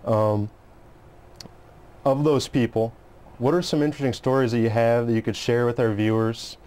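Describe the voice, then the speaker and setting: A man's voice: mid-range voice, steady tone, normal speed, darkish tone, clear, resonant tone. A man hosting a talk show, asking wordy, rambling questions in a conversational interview style.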